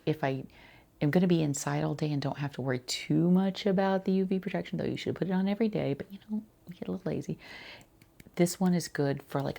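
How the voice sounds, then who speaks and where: A woman quietly playing a skincare consultant in an ASMR roleplay, chatting casually and reassuringly in a soft, breathy voice that often slips toward a whisper. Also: low voice. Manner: casual, rambling, reassuring the client.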